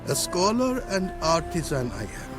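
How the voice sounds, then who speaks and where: An actor playing a courteous, proud scholar-artisan in a video game, speaking in formal, inverted, archaic phrasing. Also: male voice, on the high side, sing-song, music in the background. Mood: proud, explanatory. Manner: formal, inverted archaic syntax, deliberate emphasis. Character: courteous, proud foreign male rune craftsman, melancholy scholar. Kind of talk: video game cutscene acting.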